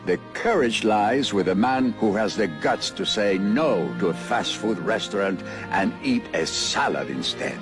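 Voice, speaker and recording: A man's voice: lowish voice, lively intonation, measured pace, few pauses. A man voicing a solemn, self-important cartoon figure giving a pompous, earnest mock-heroic speech. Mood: solemn, grand. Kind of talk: dramatic oratory in animated comedy. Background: music.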